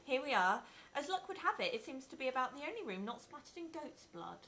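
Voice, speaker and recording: Dry-witted actress at a table-read. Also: female voice, sits high, quick pace. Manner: dry, deadpan wit. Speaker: actor playing Laura, also reading stage directions. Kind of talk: live sitcom table-read. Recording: live theatre with faint noise.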